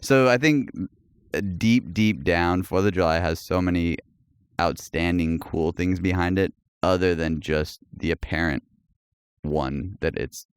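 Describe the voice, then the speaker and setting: Man, low voice, smooth and clear, relaxed rambling podcast talk, laughs a lot. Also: a little slow, no echo, studio quality. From United States. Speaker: podcast co-host. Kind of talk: casual chat.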